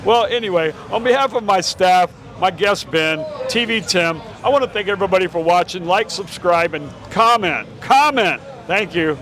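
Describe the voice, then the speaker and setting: A man's voice: sits high, expressive, moderate pace, few pauses, crowd noise. A man hosting a casual podcast chat outdoors, talking in a rambling way with restarts and frequent laughs. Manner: rambling, stammering, laughs often. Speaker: podcast host. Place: outdoors on a busy street. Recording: street noise behind.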